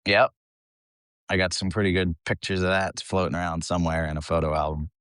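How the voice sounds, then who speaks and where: A man's voice: low-pitched, fairly even intonation, brisk, a bit bright, no room sound, studio quality. A man from the United States talking casually as a band vocalist being interviewed, in an informal, rambling, self-deprecating way.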